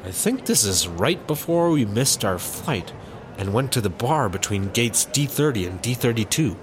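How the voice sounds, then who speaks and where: A man's voice: mid-range voice, very animated, moderate pace, background noise, close to an everyday voice. A man playing a breezy, dim space cop in a sci-fi comedy audio drama, casual with a touch of melodrama. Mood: carefree. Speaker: voice actor.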